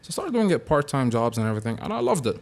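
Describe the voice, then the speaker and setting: A man in his thirties from Sudan, a podcast guest talking in a relaxed, easygoing way and laughing often. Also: mid-range voice, rapid-fire. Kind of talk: casual chat.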